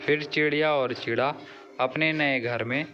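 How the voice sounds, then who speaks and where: A man's voice: fairly high pitch, steady tone, measured pace, pushed and bright, lo-fi sound, soft background music. A man narrating a scripted children's moral story as a storyteller.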